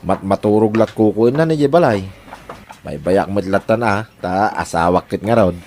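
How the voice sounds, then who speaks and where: A man's voice: fairly low pitch, normal speed, darkish tone, lightly acted. Man acting a domineering Ilocano husband, noisy recording. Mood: grumbling. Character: domineering, grumbling, cruel husband Fred. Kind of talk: Ilocano audio drama acting.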